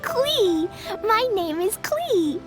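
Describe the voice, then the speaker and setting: Very high, sweet little girl. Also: very animated, very slow, music in the background, heavily acted. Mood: shy, proud. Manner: giggly, childlike, earnest. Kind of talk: English video game voice acting.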